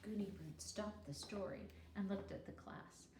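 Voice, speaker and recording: A woman doing an expressive children's read-aloud, with deliberate, teacherly explanations as storyteller. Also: medium pitch. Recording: roomy echo, faint background noise.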